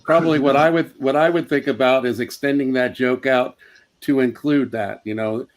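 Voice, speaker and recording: A man talking casually as a comedian giving advice, repeating phrases haltingly as he explains. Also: mid-range voice, steady tone, low-quality recording.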